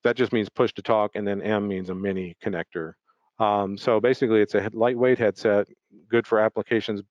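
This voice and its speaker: A man's voice: fairly low pitch, darkish tone, no room sound. A man giving an explanatory, unscripted product presentation in a webinar, hesitating often with ums and repeated words. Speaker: product presenter for an audio equipment maker. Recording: dull, lo-fi webinar audio.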